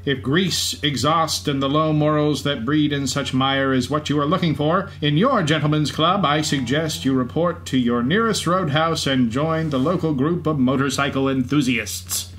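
A man's voice: a little high, normal speed, hardly any pauses, a bit bright. A man co-hosting a podcast with scripted narration. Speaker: podcast co-host. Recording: faint background ambience noise. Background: racetrack event ambience.

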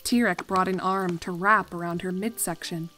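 A woman reading a fan fiction story aloud as an expressive narrator. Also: on the low side, moderate pace, soft background music.

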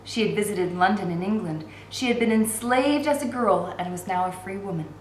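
A woman's voice: mid-range voice, brisk. Woman reading aloud in a roomy space. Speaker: reader of a novel for learners. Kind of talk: expressive read-aloud narration. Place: indoor room at home. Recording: roomy echo with faint background noise.

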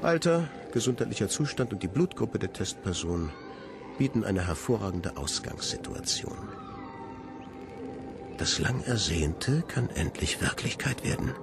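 An actor playing a cold, megalomaniac scientist in a radio play, dictating in pompous, formal language. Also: male voice, fairly low pitch, lively intonation. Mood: coldly exalted. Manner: pompous, formal, deliberate wording. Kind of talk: dramatic scientific log monologue. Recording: music behind the voice.

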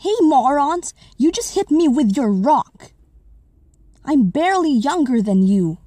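A woman's voice: high voice, sing-song, measured pace, mellow, dry recording. A woman voicing a cocky, eager male kitten in a fan comic dub. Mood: defiant.

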